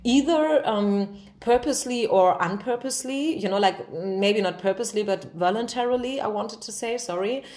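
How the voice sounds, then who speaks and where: A woman speaks as a tarot reader at a medium pitch. She talks informally and straight to the listener, reassuring and direct. She often adds small check questions to see that the listener follows. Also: normal speed, hardly any pauses, a little mellow. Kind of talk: informal spoken tarot reading to viewers.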